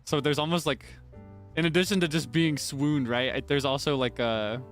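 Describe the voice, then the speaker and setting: A man's voice: fairly high pitch, steady tone, moderate pace, soft background music. A young man chatting casually on a stream, answering viewer comments with plenty of laughter and self-deprecating remarks. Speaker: virtual streamer.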